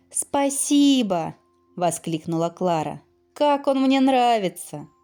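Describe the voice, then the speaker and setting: A woman narrates a fairy tale for children with highly animated pitch. She takes her time, using dramatic pauses and an expressive storyteller's delivery. Faint music plays behind her.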